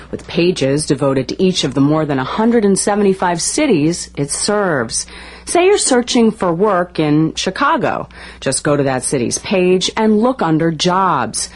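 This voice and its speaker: A woman narrating a scripted television news feature as a reporter, in a broadcast clip. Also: on the low side. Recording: broadcast clip with clear background noise.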